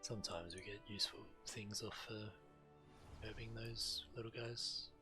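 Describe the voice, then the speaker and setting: A man's voice: on the low side, steady tone, normal speed. A man streaming a video game, reading the quest text aloud. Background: game music.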